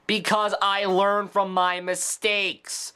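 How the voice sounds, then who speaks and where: A man's voice: sits high, little pitch movement, slow, bright, forceful tone, smooth. A man delivering scripted review commentary with opinionated, sarcastic asides. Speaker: video reviewer.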